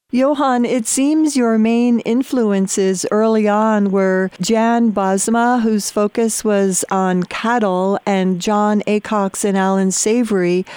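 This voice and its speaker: A woman's voice: mid-range voice, dark tone, no room sound. A woman hosting an interview, reading scripted introductions and asking curious questions. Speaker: host.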